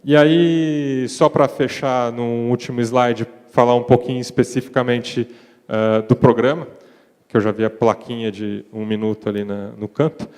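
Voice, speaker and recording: A man with a Brazilian accent giving a conference presentation in a relaxed, conversational way, with frequent fillers. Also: medium pitch, moderate pace, clear, resonant tone. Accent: Brazilian Portuguese. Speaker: conference panel speaker. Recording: reverberant conference hall.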